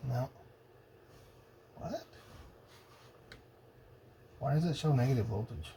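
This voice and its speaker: A man's voice: medium pitch, lively intonation. Man muttering at a noisy workbench. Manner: muttering, halting, pondering to himself. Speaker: hobbyist demonstrating electronics testing. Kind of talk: casual thinking-aloud bench commentary. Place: indoor cluttered electronics workbench. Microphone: old phone used as camera above bench. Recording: noisy phone-camera workshop recording.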